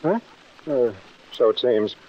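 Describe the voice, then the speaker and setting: An actor playing a flustered, secretive, love-struck married gentleman doctor in a 1940s radio drama, with a genteel, stammering, blustering delivery. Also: medium pitch, expressive, takes it slowly. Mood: flustered, indignant. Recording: dull, noisy 1940s radio recording.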